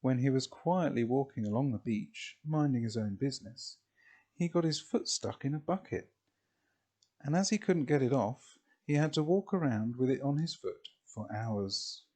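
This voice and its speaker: A man reading a children's storybook expressively, in a deliberate way with drawn-out phrases. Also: mid-range voice, animated, darkish tone.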